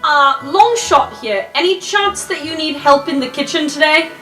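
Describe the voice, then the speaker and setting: A woman's voice: high-pitched, normal speed, bright, forceful tone, faint music behind. A woman playing a timid, polite and earnest young scholarship student in a fantasy tabletop game, speaking hesitantly. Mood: hopeful, hesitant.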